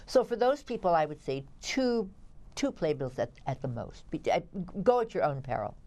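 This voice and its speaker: A woman's voice: sits low, big pitch swings, moderate pace, creaky, dark tone. A woman co-hosting as a theatre critic, chatting through reviews in a wry, opinionated way. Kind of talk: conversational review banter.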